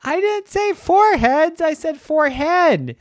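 A storyteller voicing an indignant little brother, in a high, whiny, protesting voice. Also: animated, takes it slowly, no echo, studio quality, exaggerated voice.

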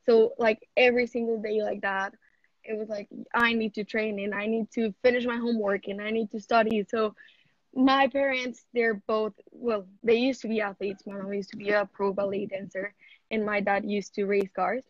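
A woman's voice: medium pitch, flat delivery, moderate pace, dry recording, muffled recording. A seventeen-year-old woman from Mexico, an athlete, chatting casually in an interview over a video call and saying "like" a lot. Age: teens. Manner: chatty, heavy use of "like".

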